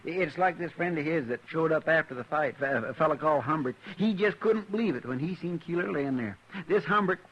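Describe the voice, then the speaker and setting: An actor playing a loyal, plain-spoken frontier deputy with a folksy drawl and country grammar, in a vintage radio drama. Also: male voice, on the high side, brisk, hardly any pauses, fairly bright tone. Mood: earnest folksy. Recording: dull, hissy old radio broadcast.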